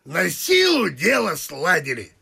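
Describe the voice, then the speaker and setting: A flustered older father in a period radio comedy. His creaky, sharp-edged voice rises and falls theatrically in drawn-out phrases. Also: sits high, wildly swooping pitch, heavily acted. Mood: relieved, exclaiming. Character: relieved, flustered older father of an only daughter.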